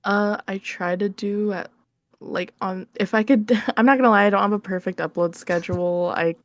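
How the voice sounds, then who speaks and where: A woman's voice: mid-range voice, steady tone, normal speed, no echo. A woman chatting informally as an interview guest, giggly and a bit hesitant in her phrasing. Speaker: interview guest, ASMR roleplay creator. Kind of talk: casual interview chat.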